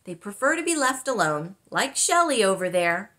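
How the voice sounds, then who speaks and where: A woman's voice: medium pitch, very animated, normal speed, sharp tone. A woman voicing a welcoming, folksy grandmother in a storybook, gracious and reassuring. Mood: matter-of-fact. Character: warm, welcoming grandmother, proud island nature guide.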